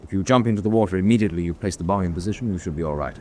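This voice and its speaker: A man playing a methodical, calm prisoner-of-war officer giving matter-of-fact instructions in a radio drama. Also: sits low, very fast, natural voice. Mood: brisk, instructive. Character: methodical, calm POW officer briefing an escaper. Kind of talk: vintage-style war radio drama acting. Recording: noisy track with background ambience.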